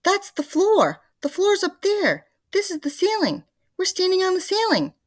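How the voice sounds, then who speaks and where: A woman voicing a nasty, panicky old wife who screams in a frantic, high voice. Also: huge pitch swings, moderate pace, no echo, clean studio sound, heavily acted. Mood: panicked.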